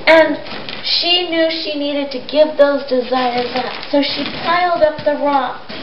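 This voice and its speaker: A woman with a high voice retells a story for children in simple, explanatory language. The recording is dull, with clear background noise. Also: takes it slowly. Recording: noisy, echoey, dull amateur recording.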